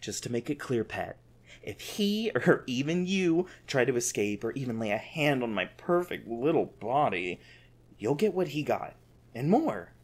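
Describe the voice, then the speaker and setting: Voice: mid-range voice, big pitch swings, unhurried, husky, full character voice. An actor playing a gleeful sadistic monster captor in an ASMR audio drama, theatrical and taunting with cloying affection. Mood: sweetly threatening. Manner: theatrical, taunting, sing-song pet names.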